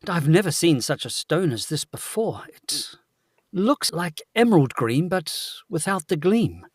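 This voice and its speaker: High-voiced, animated, curious gentleman, played by a man. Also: a little slow, breathy edge, no room sound, clean studio sound, heavily acted. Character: curious, courteous young gentleman, easily amazed. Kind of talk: gothic audio drama acting.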